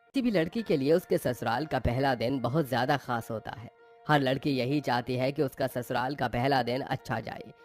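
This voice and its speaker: A man's voice: medium pitch, normal speed, faint music behind. A man narrating scripted moral tales for a cartoon as the storyteller.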